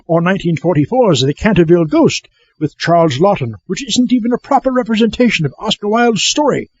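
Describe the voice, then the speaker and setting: A man acts a fussy, self-important horror film buff in a comic audio show. His voice sits fairly high and swings about as he lectures in a pompous, easily exasperated way. The recording is muffled, with a slight noise behind it. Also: lively intonation, a little fast, husky, exaggerated voice. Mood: pompous lecturing. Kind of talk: comic scripted film-history monologue.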